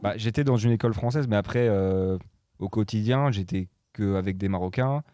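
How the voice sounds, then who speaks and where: A man's voice: lowish voice, brisk, warm tone, dry recording, studio quality. A young man in his twenties, a guest DJ and producer who grew up in Morocco, chatting casually with many hesitations and fillers. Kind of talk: casual chat.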